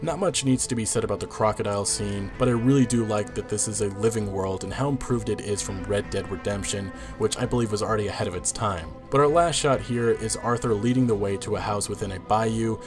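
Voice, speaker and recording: A man's voice: lowish voice, a little fast, few pauses. A man giving breakdown commentary on a video game trailer. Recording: music behind the voice. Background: music.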